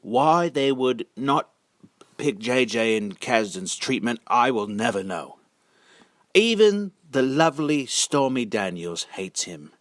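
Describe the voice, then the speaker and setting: A man giving a casual, halting monologue as a video narrator, drawling words for sardonic emphasis. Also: medium pitch, sing-song, no room sound, studio quality. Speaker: video narrator and amateur reader.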